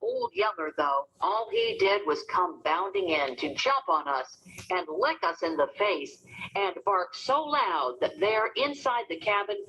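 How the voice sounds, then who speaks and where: A woman narrating a storybook as an expressive, dramatic read-aloud for children. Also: mid-range voice, measured pace, poor audio.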